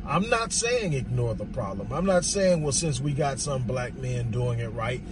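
A hoarse man gives an emphatic, off-the-cuff monologue on the move, repeating his rhetorical phrases. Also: mid-range voice, expressive, moderate pace, recorded from a distance, noisy background. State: hoarse from talking. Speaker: vlogger giving social commentary. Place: on the move, outdoors near traffic.

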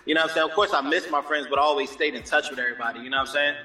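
Bright-voiced, upbeat American man in echoey reverb. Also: on the high side, very fast. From born in Germany, moved around the United States. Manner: upbeat, slangy, often says "you know what I'm saying". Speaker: R&B singer, interview guest. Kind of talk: casual chat. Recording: echoey roomy sound with long reverb, music behind.

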